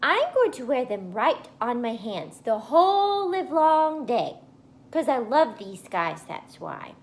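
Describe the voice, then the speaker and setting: A woman voicing an excitable, boastful little schoolgirl in a children's storybook, with childish grammar and gushing emphasis. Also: a little high, slow, voice pushed up, full character voice. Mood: gleeful showing off. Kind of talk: first-person children's storybook read-aloud.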